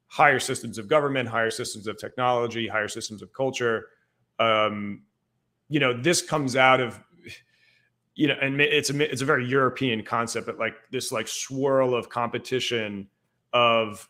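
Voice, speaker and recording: Man from ireland, young adult, casual podcast guest, middle pitch, loose rambling delivery, lots of fillers. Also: bright, forceful tone. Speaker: guest historian.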